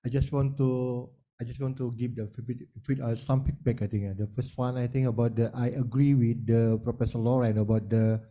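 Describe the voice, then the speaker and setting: A man speaks as a panelist in an online webinar, his voice mellow and dark at a middling pitch. He talks hesitantly, restarting phrases and tossing in frequent "yeah"s. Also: flat delivery, fairly quick, hardly any pauses. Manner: hesitant, repeating words and many "yeah"s.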